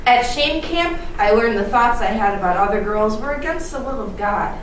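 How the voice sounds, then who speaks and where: A woman's voice: a little high, lively intonation, normal speed, recorded from a distance, close to an everyday voice. A woman performer playing a gushing, childlike camper in an exaggerated, projected theatrical style. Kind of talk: theatrical ensemble recitation with character bits. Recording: echoey stage from afar, hiss.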